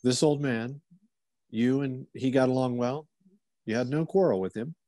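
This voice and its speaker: A man playing a mellow, inquisitive policeman. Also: mid-range voice, normal speed, no echo, natural voice. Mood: probing. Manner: polite, musing, probing. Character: courteous, inquisitive male police officer. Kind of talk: old-time radio theater acting.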